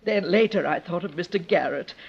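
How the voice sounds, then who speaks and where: An actress playing a timid, distraught servant woman, speaking haltingly in melodramatic old-time radio style. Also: on the low side, gravelly, slightly rough. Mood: fearful, ashamed. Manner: halting, faltering. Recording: muffled vintage radio recording.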